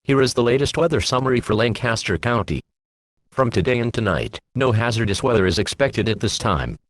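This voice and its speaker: A man reading scripted emergency alerts and weather bulletins as a radio announcer, in a flat, official, impersonal manner. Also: medium pitch, fairly quick.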